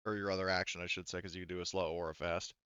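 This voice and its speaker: A man with a lowish, clean voice runs a tabletop roleplaying game. He talks rules through casually and often corrects himself. The sound is close and dry with a slightly muffled top. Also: flat delivery, rapid-fire, sharp tone, clean tone, no room sound. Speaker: game master.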